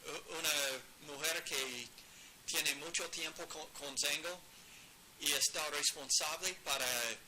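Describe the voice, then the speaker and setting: A man presenting slowly in a second language he admits is weak, with frequent restarts, standing in a studio set. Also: a little high, noisy background. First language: English. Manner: slow, halting, many restarts. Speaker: company founder presenting. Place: studio set with a photo wall.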